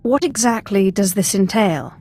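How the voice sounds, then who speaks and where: A woman's voice: mid-range voice, expressive, normal speed, darkish tone, husky. A woman playing a cool, dry-witted alien Sith apprentice in a video game, with controlled delivery. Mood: cool, wry. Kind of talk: video game cutscene acting.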